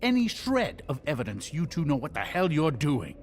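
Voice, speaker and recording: A man's voice: on the high side, wildly swooping pitch, moderate pace, noisy background. A man playing a pompous, fussy, irritable boss in a video game, scolding in a theatrical, officious way. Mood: scolding, indignant. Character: pompous, fussy, irritable middle-aged bureaucrat, acting boss. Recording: music under the voice.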